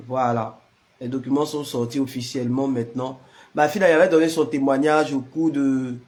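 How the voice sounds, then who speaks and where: A man's voice: mid-range voice, a little mellow. A man with the flu, a prayer leader chatting casually with live-stream viewers and greeting them by name. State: has the flu.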